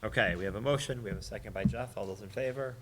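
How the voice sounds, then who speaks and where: A man chairing a school committee meeting in brisk procedural talk. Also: on the low side. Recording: noisy public meeting room recording.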